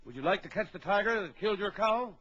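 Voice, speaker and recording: High-voiced, commanding big-game trapper. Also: male voice, animated. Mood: confident, instructive. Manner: brisk, instructive, giving orders. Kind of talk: old children's record radio acting. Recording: dull old record with background crowd noise.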